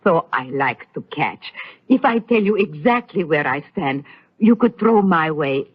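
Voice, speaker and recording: An actress playing an eager, plain-spoken immigrant housekeeper with a foreign accent in a radio soap. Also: female voice, sits low, animated, breathy edge, full character voice. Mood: eager, hopeful. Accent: foreign, non-native English. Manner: halting, broken English, earnest. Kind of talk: 1930s radio soap opera acting. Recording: muffled old radio recording.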